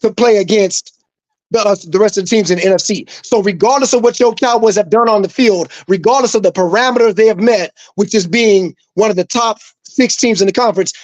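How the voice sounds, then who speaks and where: A man with a fairly high voice, recorded dry and close, argues sports with emphatic, profane insistence. He hammers the same points again and again, from New Mexico by upbringing. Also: fairly quick, darkish tone, dry recording, muffled recording. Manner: emphatic, profane, repeating himself. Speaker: co-host. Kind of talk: heated sports debate.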